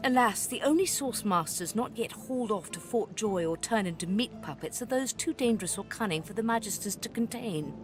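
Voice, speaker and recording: An actress playing a world-weary, sharp-tongued sorceress mentor in a fantasy video game, with a sardonic, impatient and dramatic manner. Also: female voice, mid-range voice, animated, fairly quick, few pauses, close to an everyday voice. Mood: grim, sardonic. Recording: music behind the voice. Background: music.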